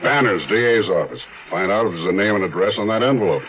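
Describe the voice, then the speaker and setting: A shrewd, methodical police detective in a seventies radio drama. His voice is very low and thoughtful. The recording is muffled, with clear music underneath. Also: lively intonation, quick pace. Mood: pensive, probing. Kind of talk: 1970s radio drama acting.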